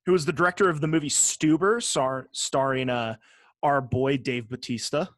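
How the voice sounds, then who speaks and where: A man's voice: medium pitch, normal speed, no echo. A man hosting a podcast, chatting casually about entertainment in emphatic, profanity-laced slang. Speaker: podcast host. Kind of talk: casual podcast chat about movies and games.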